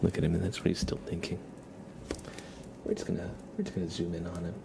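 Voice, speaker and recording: A man hosting a casual ASMR livestream, chatting to viewers in a soft, breathy, whispery voice with an unhurried, rambling manner. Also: low-pitched, completely flat delivery, background noise. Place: room at home with a window.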